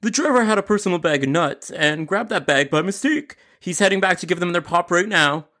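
Pizza store employee in a comic story, put on by the narrator: weary, blunt, incredulous, lifted and lively pitch. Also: fairly quick.

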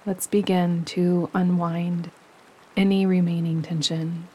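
A woman leading a guided relaxation meditation as a yoga nidra teacher, speaking gently and soothingly, with pauses between phrases. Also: on the low side, breathy edge. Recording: steady running stream water behind voice. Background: gentle running stream sounds.

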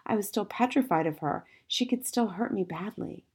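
A woman playing a gentle, modest young schoolteacher in a storybook, confiding in a soft, restrained way with quiet resilience. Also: mid-range voice, moderate pace, husky. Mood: resigned.

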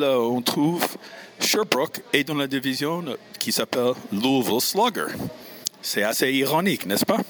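A man from the United States with an American accent, a native English speaker hosting casually, halting and repeating words as he searches for phrasing. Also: medium pitch, fairly bright tone. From United States, Missouri. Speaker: show host and interviewer. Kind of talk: casual on-location introduction and interview.

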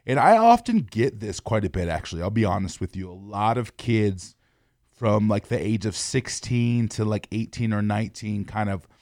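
A man's voice: fairly low pitch, animated, normal speed, darkish tone, no echo. Earnest man with a dry close sound. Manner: earnest, encouraging, addresses listeners as y'all. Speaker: podcast host. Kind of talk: motivational podcast talk.

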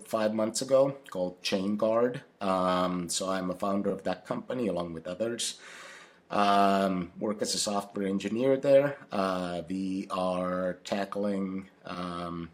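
A man speaks in a very low voice. He answers interview questions haltingly and fills his pauses with many fillers and restarts. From Finland. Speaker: podcast guest, company founder.

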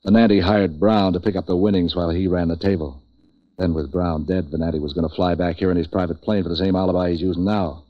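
Low-voiced, wry hard-boiled tough guy acted by a man. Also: fairly even intonation, fast talker, natural voice. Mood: sardonic, accusing. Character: street-wise, hard-boiled male boat-for-hire troubleshooter, sardonic. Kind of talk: 1940s radio noir acting and narration. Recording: dull vintage 1940s radio recording.

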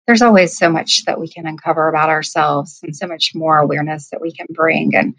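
A woman's voice: sits low, steady tone, brisk, fairly bright tone, dry recording, low-quality recording. A woman in her thirties, a life coach, telling her story in a reflective, hesitant way with many ums. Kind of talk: casual interview storytelling.

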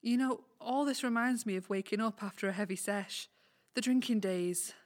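A woman's voice: medium pitch, moderate pace, close to an everyday voice. A woman with a Scottish accent acting in an audio play as an anxious, groggy injured woman who questions earnestly. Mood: weary, subdued. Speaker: community cast actor. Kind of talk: community audio drama, naturalistic dialogue.

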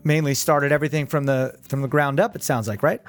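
A man's voice: medium pitch, fairly even intonation, a little fast, faint music behind. A man hosting a business podcast, upbeat and chatty, interviewing a guest over a remote call with many friendly restarts. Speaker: podcast host. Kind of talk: casual interview chat.

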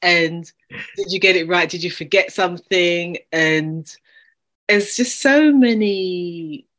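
A woman's voice: lowish voice, slow pace, a bit bright. A woman co-hosting a casual podcast chat, speaking earnestly and using vivid images. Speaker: podcast co-host.

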